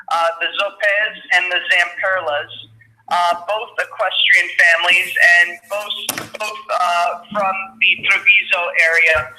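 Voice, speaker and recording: High, bright-voiced man on a video call. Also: low-quality recording. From United States. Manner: halting, many fillers and repeated words. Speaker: guest, equestrian performer. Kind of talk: casual interview on a video call. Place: room indoors. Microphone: webcam on a video call.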